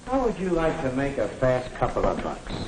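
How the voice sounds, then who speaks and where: A man's voice: mid-range voice, wildly swooping pitch, normal speed. A man playing a slick, callous small-time drug dealer in a 1950s educational film, with a casual, tough, slangy way of talking. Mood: hustling, businesslike. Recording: noisy vintage 1950s film soundtrack.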